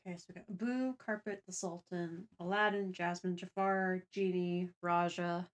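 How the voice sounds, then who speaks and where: A woman hosting a livestream, chatting casually and thinking aloud in a deliberate way. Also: on the low side, fairly even intonation. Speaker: stream host.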